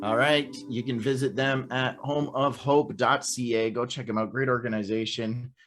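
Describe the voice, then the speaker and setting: A man's voice: mid-range voice, steady tone, moderate pace, over music. A man casually hosting an online group on a video call, with an upbeat, friendly and encouraging way of talking. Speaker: host of an online Bible read-along. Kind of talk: casual livestream chat. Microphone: video call livestream.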